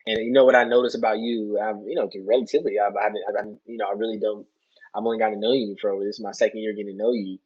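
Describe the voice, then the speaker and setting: A man with a husky, slightly rough voice talks casually as a podcast guest. He rambles earnestly, circling back and checking in often. The recording is a bit dull and lo-fi. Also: fairly low pitch, steady tone, very fast, darkish tone. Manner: rambling, earnest, repeats "you know". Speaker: interview guest, soccer player.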